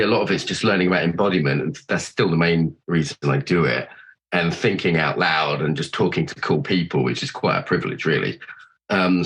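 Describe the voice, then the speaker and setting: A middle-aged man with a cold, a podcast host being interviewed, chatting loosely, swearing freely and thinking out loud. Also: low-pitched, poor audio. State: has a cold. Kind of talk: casual chat.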